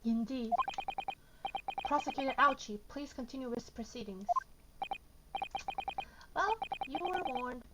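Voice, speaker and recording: A woman's voice: sits high, lively intonation, unhurried, long pauses, heavy background noise. A woman streaming a video game from her bedroom, reading the dialogue aloud and reacting informally as if talking to herself. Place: bedroom at home. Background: loud game music.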